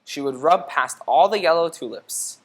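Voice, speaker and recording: A woman reading a children's storybook aloud in an expressive, teacherly way, pausing to put questions to young listeners. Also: low-pitched, poor audio. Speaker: storybook reader.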